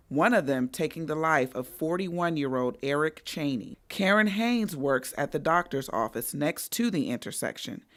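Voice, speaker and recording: A woman's voice: low voice, dry recording. A woman working as a local television reporter, reading scripted news in a broadcast reporting style.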